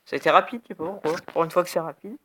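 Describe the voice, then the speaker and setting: A man's voice: a little high, very fast, a bit bright, a little hiss or hum. A young man who has a cold, giving rambling casual commentary over a video game. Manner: rambling, full of "hop", "bam", "tac" sound words. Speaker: gaming YouTuber.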